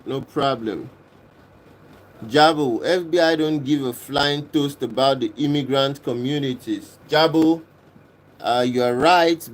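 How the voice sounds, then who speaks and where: A man from Kenya hosting a livestream, reading and answering viewers' comments in a casual, unhurried way and often repeating himself. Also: mid-range voice. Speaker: livestream host reading chat. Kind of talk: casual live chat.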